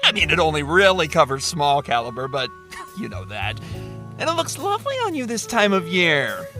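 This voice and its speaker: A man's voice: a little high, sharp tone. A man acting a catty, theatrical sci-fi game show producer in an audio drama, gushing and sardonic. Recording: music playing behind the voice.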